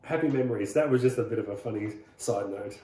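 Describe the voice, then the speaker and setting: A man's voice: fairly low pitch, quick pace. Roomy-sounding Australian man telling stories. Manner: rambling, relaxed, many "uh"s and restarts. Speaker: rockabilly singer, guest. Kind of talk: casual interview storytelling.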